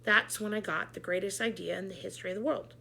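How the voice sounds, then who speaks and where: A woman reading a children's book aloud in an animated, expressive storytelling manner with dramatic emphasis. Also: medium pitch, fast, creaky. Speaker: read-aloud storyteller, teacher.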